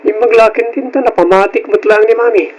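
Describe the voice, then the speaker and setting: A man voicing a cheeky, evasive high school boy with an Ilocano accent in a radio drama. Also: steady tone, brisk, a little mellow, lo-fi sound. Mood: grumbling, scheming. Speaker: drama voice actor.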